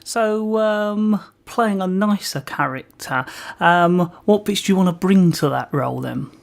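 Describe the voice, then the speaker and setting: A man's voice: high voice, animated, vocal fry. Hesitant male community radio interviewer. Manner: hesitant, halting questions. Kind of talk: informal local radio interview.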